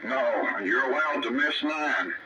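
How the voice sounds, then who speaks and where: A man with a Tennessee Southern accent talking casually over CB radio in a folksy, halting, repetitive way. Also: medium pitch, animated, over a noisy crowd. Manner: folksy, halting, repeats himself. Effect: two-way CB radio transmission. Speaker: CB radio operator. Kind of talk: casual radio chat, rambling storytelling. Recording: distant, very noisy CB radio signal.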